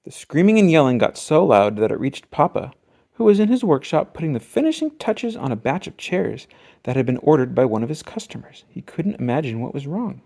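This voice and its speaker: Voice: medium pitch, big pitch swings, brisk, gravelly, dark tone. An adult reader narrating a children's picture book expressively, drawing out key words for emphasis. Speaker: storybook reader. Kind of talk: scripted children's storybook narration.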